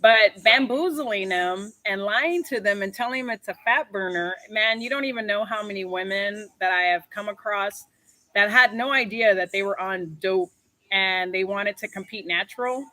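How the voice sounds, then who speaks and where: A woman's voice: mid-range voice, normal speed, pushed and bright, rough recording. A young woman personal trainer in casual, blunt chat, swearing and laughing easily, then coaching a workout.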